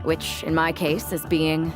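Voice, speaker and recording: A woman's voice: low voice, little pitch movement, a little slow. A woman playing a brash, combative wrestler in a video game cutscene, talking in a punchy, confrontational way. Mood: assured, frank. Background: music.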